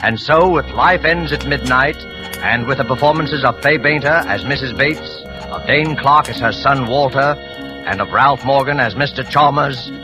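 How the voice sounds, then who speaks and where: A man giving a formal, dramatic 1940s radio introduction with suspenseful pauses. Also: medium pitch. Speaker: program host and announcer. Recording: vintage radio, music behind.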